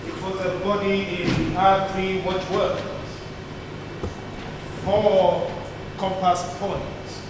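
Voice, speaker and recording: A man with a Nigerian accent playing a proud, agreeable student activist in a stage play, speaking deliberately and hesitantly. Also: sits high. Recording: very echoey noisy hall from far off.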